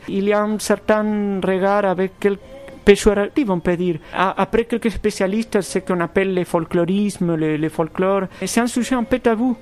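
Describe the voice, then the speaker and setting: A man from Argentina with a fairly high voice speaks as a composer in an interview. He picks his words slowly, with false starts and repeated words. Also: a little mellow. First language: Spanish. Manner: thoughtful, halting, many restarts. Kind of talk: radio interview.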